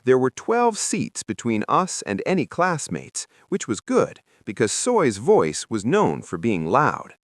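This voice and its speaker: A man narrates a children's story as if it happened to him. His delivery is expressive and animated, with pitch that swings widely around an average male register. The sound is dry, with little room in it. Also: a little slow, hardly any pauses, no echo. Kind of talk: scripted first-person children's audiobook narration.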